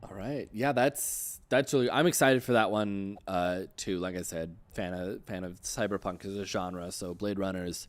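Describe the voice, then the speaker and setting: A man with a fairly low voice hosts a casual livestream interview. He speaks informally and loosely, wandering a little through his thoughts as he goes. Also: moderate pace, few pauses. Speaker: interview host.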